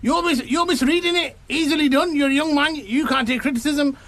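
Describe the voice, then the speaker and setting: A man putting on a vain, touchy match reporter, self-important and quick to take offence. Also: a bit bright, dry recording. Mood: smug. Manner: indignant, self-important, high-pitched outbursts. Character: pompous older male match reporter "Stanley Vaguely", touchy and vain.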